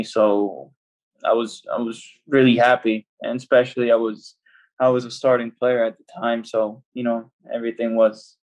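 A man's voice: mid-range voice, a little mellow, low-quality recording. A young man, a footballer from El Salvador, talking casually as a guest on a video-call interview, rambling with many restarts. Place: small home room. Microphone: laptop video call.

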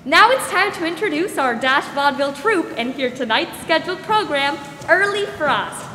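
A bright, high-voiced 1940s radio hostess acted by a young woman, gracious and upbeat. Her announcing is folksy and polished, with strong hall echo and faint noise. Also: expressive, moderate pace, soft background music. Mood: brisk, upbeat. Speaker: radio show host. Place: school theatre stage, indoors. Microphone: stand microphone.

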